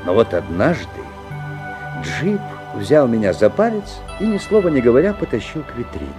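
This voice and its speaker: An actor playing a genial father who narrates a story in a 1970s radio play, theatrical, with deliberate pauses. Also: medium pitch, big pitch swings, lightly acted. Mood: storytelling, amused. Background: faint music.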